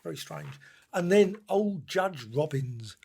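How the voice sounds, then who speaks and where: A man's voice: fairly high pitch, lively intonation, slow pace, gravelly, darkish tone, slight background noise. A man giving a casual, unscripted review commentary, musing and rambling as he goes and often trailing off. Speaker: book and comic reviewer.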